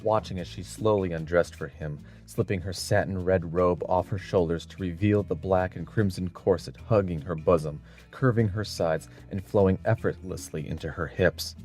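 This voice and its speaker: A man with a very low voice narrates a dramatic audiobook. Faint music plays behind his scripted storytelling. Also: moderate pace, hardly any pauses, a little mellow.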